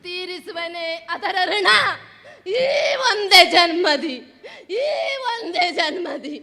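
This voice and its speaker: A woman's voice: extremely high pitch, expressive, slow pace, sharp tone, heavily acted. A woman teacher acting a starving destitute woman who eats from a rubbish bin, weeping and pleading on a school stage. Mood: fervent. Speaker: school teacher performing a solo act. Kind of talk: stage monologue at a school event.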